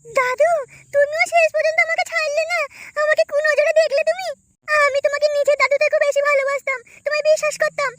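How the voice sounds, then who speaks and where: A piercingly high young village woman, put on by a voice actress, scolds in comic cartoon melodrama. She is plaintive and accusing, over clear background noise. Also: fairly even intonation, fast talker. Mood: hurt, accusing.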